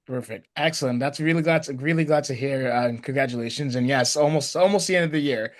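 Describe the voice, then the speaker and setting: Man, facilitator, video call, upbeat, courteous, brisk. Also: mid-range voice. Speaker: meeting facilitator. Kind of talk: hosting an online workshop.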